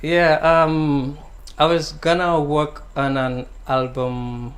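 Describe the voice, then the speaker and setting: Soft-spoken young Gambian man, flat voice. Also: fairly high pitch, slow. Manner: soft-spoken, hesitant with many ums. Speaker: guest singer-songwriter. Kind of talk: casual interview answers.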